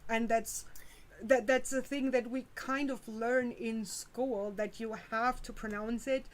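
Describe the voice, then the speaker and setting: German woman chatting, slow and candid. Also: fairly high pitch, fairly even intonation. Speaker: YouTuber, stream host. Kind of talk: casual chat on a stream.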